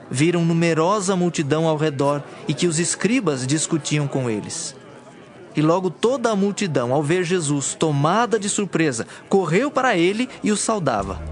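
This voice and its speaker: A man with a Brazilian accent narrating a dramatized scripture in a scripted storytelling style. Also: a little high.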